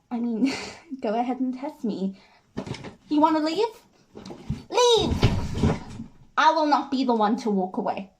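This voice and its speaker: A woman acting a hurt, defiant, fiercely loving wife in a theatrical stage monologue, with emphatic, drawn-out delivery. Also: high voice, pitched above the performer's own voice, exaggerated voice, rough recording.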